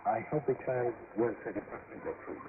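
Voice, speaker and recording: An actor playing a grateful male school director in an educational radio drama, speaking in an expressive, formal way. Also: medium pitch, fast, natural voice. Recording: noisy shortwave broadcast, tinny band.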